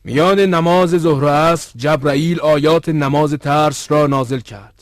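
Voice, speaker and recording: A man playing an earnest religious narrator in an educational cartoon, with formal, bookish, measured delivery. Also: on the high side, dry recording, studio quality, natural voice.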